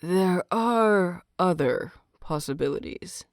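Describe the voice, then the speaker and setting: A woman voicing a formal, solicitous older male teacher in an audiobook, speaking in a measured way. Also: fairly low pitch, animated, no echo, clean studio sound. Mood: calm concern. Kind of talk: audiobook character dialogue.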